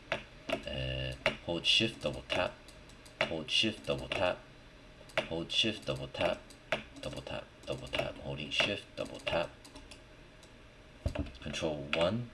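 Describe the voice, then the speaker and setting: A man with a very deep voice walks through software steps at a slow pace. He talks informally, thinking aloud and checking in as he goes. Noticeable noise, typing and mouse clicks sit behind his voice. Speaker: 3D modelling tutorial instructor. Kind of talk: casual screen-recorded software tutorial, talking while working. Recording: clearly noisy, with keyboard and mouse clicks. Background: background noise, typing and clicking.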